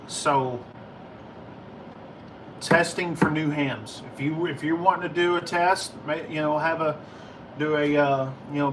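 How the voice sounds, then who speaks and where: An American man chatting casually to livestream viewers in a rambling, halting, informal way. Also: mid-range voice, distant mic, noisy background. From United States. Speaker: livestream host, ham and CB radio hobbyist. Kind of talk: casual livestream chat answering viewer questions.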